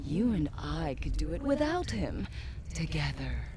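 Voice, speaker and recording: A woman's voice: low voice, very animated, measured pace, heavy background noise. An actress playing a seductive, ambitious, scheming female companion in a video game, with a coaxing, intimate manner. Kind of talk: dramatic video game acting.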